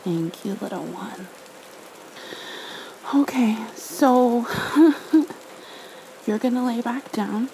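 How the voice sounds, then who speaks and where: A woman speaking in a hushed, tender, nurturing way, as in an ASMR bedtime roleplay and reading. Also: a little high, expressive, very slow, creaky, pauses often, low-quality recording. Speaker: ASMR roleplayer, storybook reader. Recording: faint rain-like background noise.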